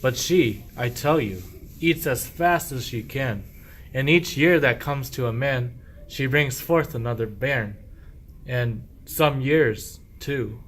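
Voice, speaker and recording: A man's voice: medium pitch, slow pace, a bit bright, a little hiss or hum. A man playing a sly, boastful, self-pitying sheep thief in a medieval verse radio play, with declamatory rhyming speech. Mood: complaining.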